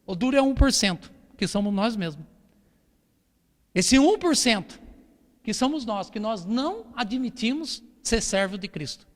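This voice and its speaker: Very high-pitched Brazilian preacher, reverberant hall. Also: male voice, animated, measured pace. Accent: Brazilian Portuguese. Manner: exhorting, with rhetorical questions and repetition. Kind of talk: church sermon with Bible reading. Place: church hall during a service.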